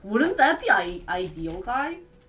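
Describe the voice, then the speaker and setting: A woman with a Wisconsin accent from the United States, chatting casually with viewers as a live streamer and firing quick questions back at them. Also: medium pitch, sing-song, a bit bright, a little hiss or hum. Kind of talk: casual chat answering viewers.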